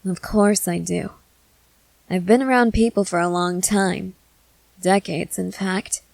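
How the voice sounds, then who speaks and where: A woman voicing a protective, controlling century-old female vampire in measured, formal phrases for an ASMR roleplay. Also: medium pitch, steady tone, creaky, a little mellow, dry recording. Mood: assured. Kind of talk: scripted ASMR audio roleplay.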